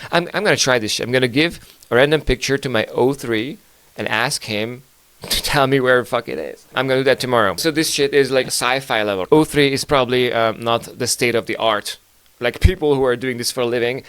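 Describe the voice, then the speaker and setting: A man's voice: mid-range voice, fairly bright tone, clear, resonant tone, dry recording. A man with Hungarian as his first language hosting a podcast, rambling through news in a casual, profane, joking way with lots of fillers. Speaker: podcast host.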